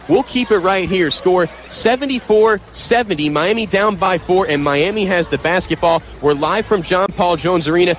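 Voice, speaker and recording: A man with an American accent calling live radio basketball play-by-play from an arena, energetic and detailed, getting excitable on big plays. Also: sits high, a little hiss or hum. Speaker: play-by-play sports broadcaster.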